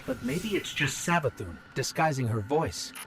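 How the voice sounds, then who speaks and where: An actor playing a wary, earnest robot companion in a video game, articulate and questioning. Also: male voice, a little high, big pitch swings, normal speed, fairly bright tone, a little hiss or hum. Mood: curious, musing.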